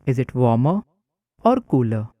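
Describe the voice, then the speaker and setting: A man guiding a breathing meditation in a calm, instructive way. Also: mid-range voice, a little slow, dry recording. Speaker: meditation guide.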